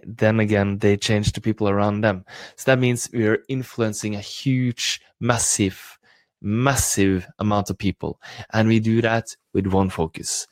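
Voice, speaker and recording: A man's voice: lowish voice, no room sound. A man with a Norwegian accent giving an enthusiastic motivational live broadcast talk as a coach trainer. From Norway.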